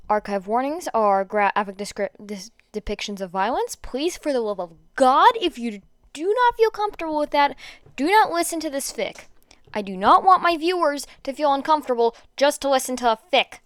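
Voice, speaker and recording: Animated high-voiced woman reading aloud. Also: clean tone, dry recording, clean studio sound. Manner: informal, expressive, stumbles over words. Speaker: podfic reader. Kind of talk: amateur fan fiction reading with chatty asides.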